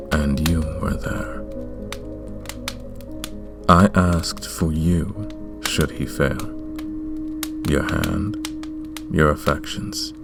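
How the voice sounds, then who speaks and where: Vampire king, acted by a man: extremely low, unhurried, courtly and commanding, smitten, for romantic ASMR roleplay. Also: gravelly, exaggerated voice. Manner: formal, courtly, deliberate.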